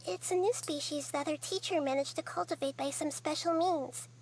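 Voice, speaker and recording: A woman voicing a gentle, wise, childlike goddess of plants in a game, speaking softly and carefully. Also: high-pitched, normal speed, slight background noise, natural voice. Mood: thoughtful. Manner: soft, careful, thoughtful. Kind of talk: game cutscene voice acting.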